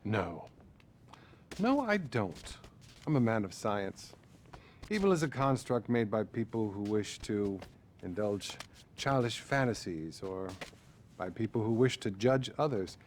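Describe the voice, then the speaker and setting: An actor playing a calm, clinical psychiatrist who speaks in a measured, unflappable way, in a video game cutscene. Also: male voice, lowish voice, slight background noise.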